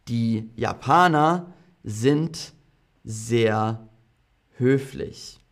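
A man teaching a slow classroom language lesson to a group of students, repeating each word and phrase clearly for learners. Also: mid-range voice. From half German, half British. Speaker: German teacher.

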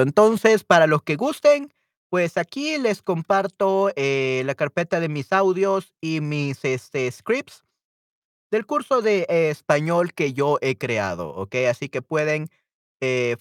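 A man's voice: fairly high pitch, no room sound, studio quality. A young Salvadoran man with a Salvadoran Spanish accent, teaching Spanish in a friendly, chatty live stream. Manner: chatty and friendly, mixes in English asides to himself. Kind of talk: casual live-stream lesson.

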